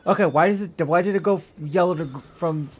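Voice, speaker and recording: Tired, higher-pitched man streaming a game. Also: fairly quick, breathy edge, muffled recording. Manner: slangy, mild swearing, muttering asides. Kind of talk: casual livestream gameplay commentary. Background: game music.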